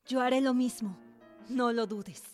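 A woman's voice: a little high, unhurried, close to an everyday voice. An actress playing a resolute young patriot woman devoted to justice, in a radio drama. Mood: resolute, tender. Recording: music under the voice.

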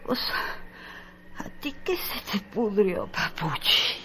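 An actress playing a bitter, jealous, dying woman who taunts slowly and dramatically in a radio drama. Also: medium pitch, expressive, sharp tone, a little hiss or hum. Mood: bitter mockery.

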